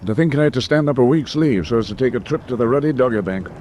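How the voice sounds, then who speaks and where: Casting: male actor as disgruntled British sailor, plain-spoken navy veteran, mid-pitched, faintly noisy radio recording. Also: animated, fast talker, warm tone, close to an everyday voice. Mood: grumbling. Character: grumbling British naval petty officer, experienced seaman. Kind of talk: radio drama acting.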